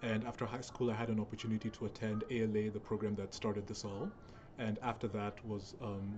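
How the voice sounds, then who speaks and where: Low-voiced young man, noisy recording. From Kenya (Nairobi). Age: young adult. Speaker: speaker, software professional. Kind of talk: recorded talk to an event audience. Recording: steady background noise.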